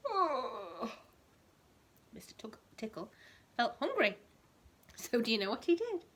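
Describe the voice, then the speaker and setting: A woman's voice: on the high side, sing-song, slow pace, a bit bright, slight background noise. A woman reading a storybook expressively to a small child, explaining things in simple words as a teacher would. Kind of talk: animated storybook read-aloud with asides explaining words.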